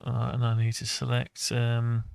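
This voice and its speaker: A man talks himself through a software task in a very creaky, gravelly voice. His delivery is slow and flat, muttered as he thinks aloud. The sound is dry and close, like an informal hobby tutorial. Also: medium pitch, dry recording. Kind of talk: unscripted screen-recorded software walkthrough.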